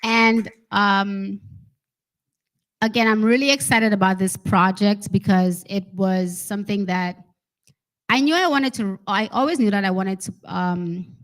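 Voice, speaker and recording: A woman speaking informally to an audience as a children's author, chatty and expressive, often checking in with her listeners. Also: medium pitch, unhurried. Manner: chatty, expressive, addresses listeners with "right?" and "okay?". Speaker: special education teacher. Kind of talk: informal talk and read-aloud to an audience.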